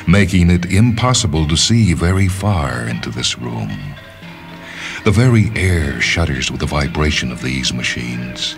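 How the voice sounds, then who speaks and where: A man reading a literary short story as a radio narrator, in a measured, deliberate and dramatic delivery. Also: rumbling low. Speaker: reader of short stories on a radio show. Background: background music.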